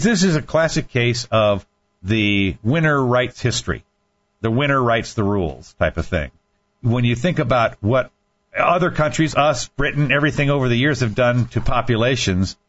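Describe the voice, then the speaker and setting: A man hosting a casual talk show, rambling through news stories with frequent restarts and false starts. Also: fairly low pitch. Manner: rambling, halting with many restarts. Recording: dull lo-fi sound.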